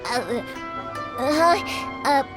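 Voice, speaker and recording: Game casting: earnest, helpful young heroine, high voice with lively swings. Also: female voice, very slow, full character voice. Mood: sincere. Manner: earnest, upbeat, casual. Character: spirited, helpful young woman who makes fireworks. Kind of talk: video game voice acting. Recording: game music behind voice.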